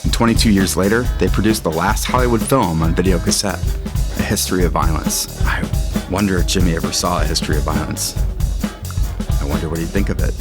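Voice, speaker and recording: A man with a low voice reading scripted literary fiction as a narrator. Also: fairly quick. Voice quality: low-pitched. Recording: music under the voice. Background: guitar music.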